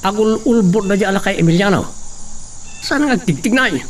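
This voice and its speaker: A man's voice: high voice, expressive, fairly quick. An actor playing a scheming male servant who resents his master, talking in a sly, muttering way.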